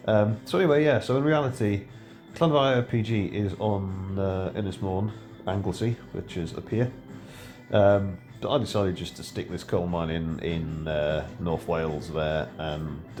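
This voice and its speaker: A middle-aged man with a low voice talks through a building game in a loose, rambling way. He stops often to hesitate and correct himself. Faint music plays softly behind him. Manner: rambling, hesitant, full of ums and self-corrections. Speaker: game video creator. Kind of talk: casual gameplay commentary.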